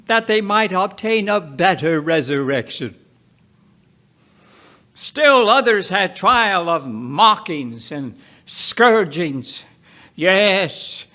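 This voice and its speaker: A man reading scripture aloud in a solemn, dramatic style, deliberate and emphatic, breaking the text into short phrases with pauses. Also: sits high, sharp tone. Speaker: Bible reader.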